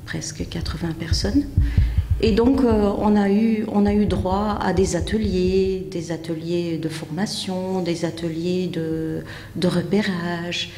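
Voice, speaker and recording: A middle-aged woman from Belgium being interviewed, telling her story in a hesitant, measured way with frequent pauses. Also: lowish voice, steady tone, dark tone. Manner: hesitant, measured, pausing often. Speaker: interview guest, community association member. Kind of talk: unscripted personal account. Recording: echoey hall, faint background noise.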